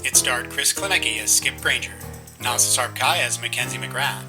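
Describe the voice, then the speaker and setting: A man's voice: medium pitch, normal speed. A man reading scripted end credits as an announcer. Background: guitar music.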